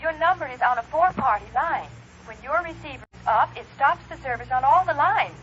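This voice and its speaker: An actress playing an officious, unyielding telephone operator with formal official phrasing, heard through a telephone filter in a 1950s radio drama. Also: female voice, high voice, expressive, brisk, a bit bright. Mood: stern, insistent. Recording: dull, noisy old radio recording.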